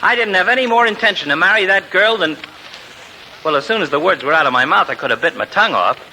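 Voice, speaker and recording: A cynical, grumbling married man in old radio comedy. His voice is very bright and forceful, set a little high, and he wisecracks in folksy slang. Faint noise runs under the vintage recording. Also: lively intonation, quick pace, exaggerated voice, poor audio. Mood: wry complaining. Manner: wisecracking, colloquial. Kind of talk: 1940s radio comedy acting.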